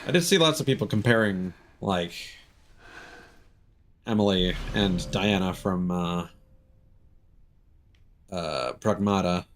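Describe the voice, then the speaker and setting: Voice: sits low, slow pace, pauses often, a little hiss or hum. A game streamer giving casual, profane live commentary with sardonic, joking asides. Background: video game music and sounds.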